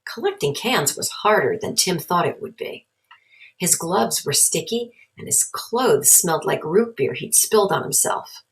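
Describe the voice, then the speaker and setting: Lady reading a kids book out loud, voice on the low side. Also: expressive, measured pace. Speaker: storybook narrator. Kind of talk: read-aloud children's story narration.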